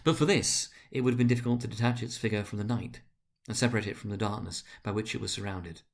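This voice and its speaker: A man narrating a story dramatically, with a grave, deliberate and theatrical delivery. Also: fairly low pitch.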